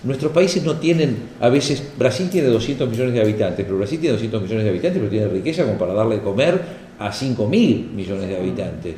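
A man's voice: fairly low pitch, animated, a little fast, dark tone. Guy from argentina giving a talk, rhetorical questions, roomy echo, faint hum behind. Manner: discursive, rhetorical questions, building emphasis. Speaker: speaker at a panel talk. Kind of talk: reflective public talk to an audience. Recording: echoey room with faint ambience.

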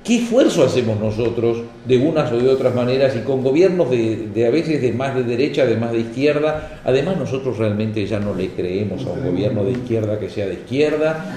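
A man's voice: medium pitch, animated, a little fast, few pauses, warm tone, a little hiss or hum. A man from Argentina giving a reflective talk to an audience, speaking in a discursive way that builds to rhetorical questions. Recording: echoey room with faint ambience.

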